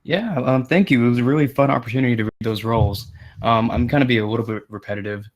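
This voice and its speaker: A man's voice: medium pitch, fast talker. A man giving casual feedback in a tentative, informal way. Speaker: volunteer table reader.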